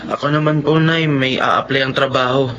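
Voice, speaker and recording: An actor playing a dutiful, earnest young brother in a Filipino melodrama, with a subdued manner. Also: male voice, medium pitch, steady tone, normal speed, natural voice. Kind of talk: Filipino radio-style melodrama acting. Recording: muffled lo-fi audio, loud music underneath.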